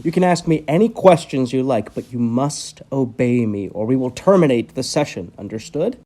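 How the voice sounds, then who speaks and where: An actor as a menacing doctor in a horror audio play, a man with a middling pitch. He is cold, controlling and condescending, speaking deliberately and imperiously, as if every word were an order. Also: animated, dark tone, natural voice. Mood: controlled, condescending.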